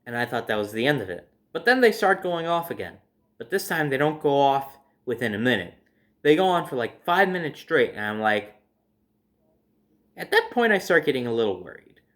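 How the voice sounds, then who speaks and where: A man with a mid-pitched voice tells a personal story in a casual, chatty way. He hosts the telling himself and animates it with acted-out reactions, keeping the wording informal. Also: sing-song, normal speed, a bit bright. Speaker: storyteller hosting own video series.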